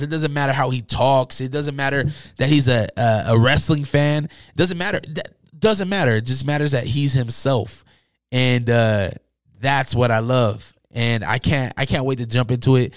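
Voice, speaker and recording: A man's voice: medium pitch, smooth, dry recording, studio quality. A man hosting a casual music podcast, talking in an informal, slangy, enthusiastic way, with his voice worn from a long day of talking. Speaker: podcast host. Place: indoors, upstairs room.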